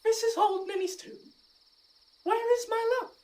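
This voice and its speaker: Squeaky-high, lovelorn stage maiden. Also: female voice, steady tone, unhurried, a little mellow, far from the mic. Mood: searching. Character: girlish amateur player as lovelorn maiden. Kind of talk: mock-romantic Shakespearean verse.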